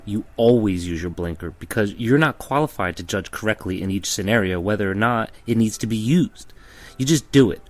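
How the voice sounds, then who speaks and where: A man delivering scripted spoken-word narration in a blunt, deadpan manner. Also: fairly low pitch.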